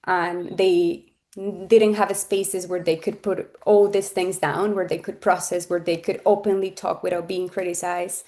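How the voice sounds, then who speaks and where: A woman's voice: lowish voice, little pitch movement, a little slow, darkish tone. Colombian-born woman presenting on a webinar. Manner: talks freely, with fillers and restarts, earnest. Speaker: webinar speaker. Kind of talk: presenting on a webinar, casual and personal. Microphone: video call.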